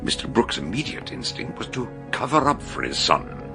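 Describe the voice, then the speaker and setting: An actor playing an older detective in a radio mystery, genial yet grave, explaining things deliberately. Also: male voice, medium pitch, very animated, gravelly, music in the background. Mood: solemn explaining. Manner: hesitant openings, deliberate explanatory delivery. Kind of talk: radio mystery drama acting.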